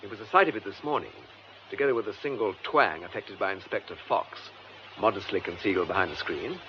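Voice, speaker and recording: An actor playing a composed, methodical English police superintendent in a radio drama, with measured, formal, precise delivery. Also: male voice, lowish voice, close to an everyday voice. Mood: grave, narrating. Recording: muffled lo-fi radio drama, faint noise.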